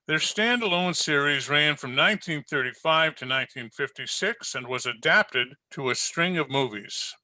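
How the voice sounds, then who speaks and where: Very bright, crisp-edged male radio announcer. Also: a little high, no room sound, poor audio. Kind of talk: scripted old-time radio narration. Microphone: video-call recording.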